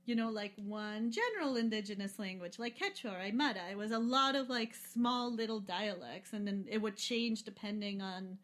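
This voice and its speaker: A woman's voice: medium pitch, normal speed, few pauses, fairly bright tone. A woman chatting casually as a podcast guest, loose and rambling, with many fillers and frequent laughs. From lives in Peru. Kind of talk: casual travel chat.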